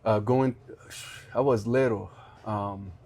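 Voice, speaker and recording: Mellow-voiced young male podcast host. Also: fairly low pitch, fairly even intonation. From Rwanda. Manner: earnest, halting, many restarts. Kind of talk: casual interview chat.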